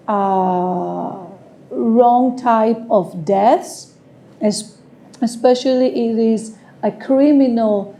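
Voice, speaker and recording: A woman with a Greek accent giving a tarot reading, speaking hesitantly and searching for words with frequent pauses and fillers. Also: a little high, mellow. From Greece. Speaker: tarot reader. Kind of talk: slow, halting tarot reading with many fillers.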